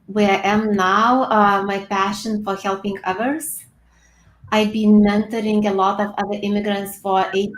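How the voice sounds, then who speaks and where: A woman from Lithuania with a Lithuanian accent, speaking earnestly over a video call as an interview guest, with frequent pauses and fillers. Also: mid-range voice, reverberant, low-quality recording. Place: home room with bookshelves.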